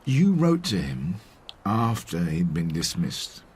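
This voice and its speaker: An actor playing a reserved Victorian London detective sergeant in a radio drama, putting terse, polite questions. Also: male voice, low-pitched, wildly swooping pitch, slow pace, darkish tone, breathy edge, heavily acted. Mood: polite, probing.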